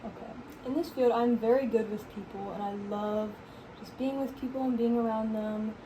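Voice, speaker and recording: A young woman answering job interview questions softly and casually, often saying "like". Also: a little high, steady tone, moderate pace. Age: young adult. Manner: soft-spoken, casual with frequent "like". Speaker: recreation leader job candidate. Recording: noisy background.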